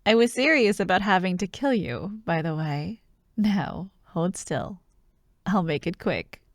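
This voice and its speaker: A woman playing an excitable, teasing young childhood friend who loves Halloween, in an ASMR roleplay addressed to the listener. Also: on the low side, measured pace, dry recording, clean studio sound, natural voice. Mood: mock-sinister teasing. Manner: playful, teasing, animated, with frequent giggles and mock drama.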